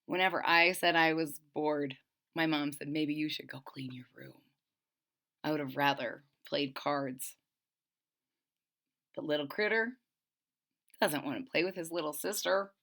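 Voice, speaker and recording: A woman reading a picture book aloud to children, expressive and chatty, adding friendly asides between the pages. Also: deep voice, a little slow, long pauses. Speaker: storybook reader.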